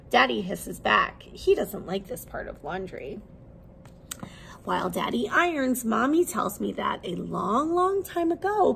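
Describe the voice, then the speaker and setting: A woman preschool teacher reading a children's book aloud expressively, with simple, deliberate questions for young listeners. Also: a little high, vocal fry, slight background noise.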